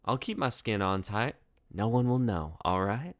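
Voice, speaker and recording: A man's voice: fairly low pitch, lively intonation, normal speed, voice pushed up, natural voice. A man voicing a mischievous alien shapeshifter woman in a story, with a brash, teasing, playful delivery.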